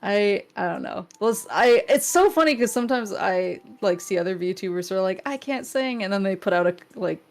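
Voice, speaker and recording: Giggly, halting woman guest. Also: medium pitch, expressive, a little mellow. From New England. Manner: giggly, halting, many restarts. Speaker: guest vtuber. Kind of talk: casual chat.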